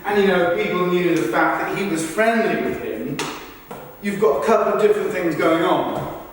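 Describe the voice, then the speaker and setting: A man with a fairly high voice performs a rehearsed documentary-style stage monologue. He is well away from the microphone, and the large room wraps his words in heavy echo and long reverb. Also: moderate pace, a little hiss or hum. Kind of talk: rehearsed verbatim theatre monologue. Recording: distant, very echoey rehearsal hall.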